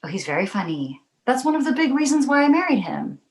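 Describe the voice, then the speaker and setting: A woman playing a doting young mother with a soothing, reasoning manner, in an animated comedy table read. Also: high voice, quick pace. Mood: patient. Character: doting, placating young mother of a baby boy. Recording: echoey room.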